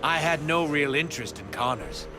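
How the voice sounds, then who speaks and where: An actor playing an arrogant, obsessed scientist villain in a video game cutscene, grandiose and menacing. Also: male voice, a little high, expressive, moderate pace, big-room sound, music in the background, close to an everyday voice. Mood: gloating, menacing.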